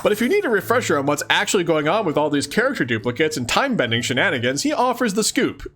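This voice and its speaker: Man, slightly high voice, swooping intonation, casual read-along commentary, amused, exclamatory, playfully sarcastic. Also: big pitch swings, fast, fairly bright tone. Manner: amused, exclaiming, often chuckling. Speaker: host of a let's-read series. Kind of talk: casual reading aloud with running commentary.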